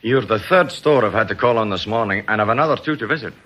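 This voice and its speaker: An actor playing a brisk, affable but suspicious credit card investigator in a British radio drama, chatty and matter-of-fact. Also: male voice, fairly low pitch, pushed and bright.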